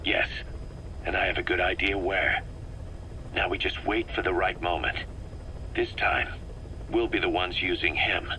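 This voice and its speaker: Sharp, intense military second-in-command. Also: male voice, sits low, fairly even intonation, measured pace, pauses often. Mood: bitter, vengeful. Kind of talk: video game voice acting. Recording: faintly noisy cassette tape recording.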